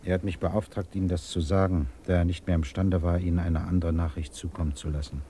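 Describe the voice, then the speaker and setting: A man playing a grave, principled interrogator in a German radio drama, speaking in a measured, formal, earnest way. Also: low voice, little pitch movement, dark tone, a little hiss or hum, close to an everyday voice. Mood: grave, subdued. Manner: measured, formal, deliberate speech.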